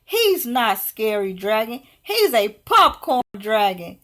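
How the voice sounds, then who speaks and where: Brave, outspoken storybook heroine, a girl acted by a woman, high and deliberate, speaks her mind. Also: sing-song, fairly bright tone, heavily acted. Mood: determined. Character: brave, outspoken young village girl Elizabeth.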